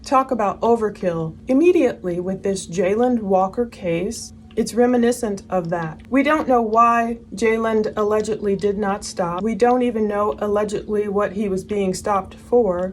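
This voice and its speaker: A woman giving opinionated, emphatic true crime commentary, posing pointed questions as she goes. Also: medium pitch. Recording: noticeable background noise.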